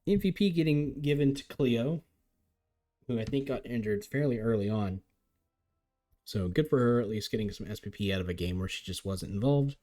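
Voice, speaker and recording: A man giving chatty, upbeat live commentary on a game as league commentator, his voice getting a little raspy. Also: medium pitch, animated, moderate pace, mellow. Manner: chatty, upbeat play-by-play with frequent restarts.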